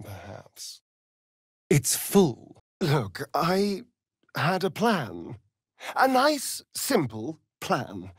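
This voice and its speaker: An actor playing a sly, flamboyant, sardonic vampire rogue in a video game, with a British accent and an arch, camp, teasing drawl. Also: male voice, mid-range voice, husky, no room sound, studio quality, exaggerated voice. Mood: wry, calculating. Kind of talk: theatrical video game character acting.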